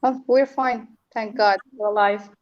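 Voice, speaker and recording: A woman's voice: a little high, fairly even intonation, unhurried, highs cut off. A woman from Gaza speaking casually as a guest on an internet call. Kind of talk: casual greeting on a video call.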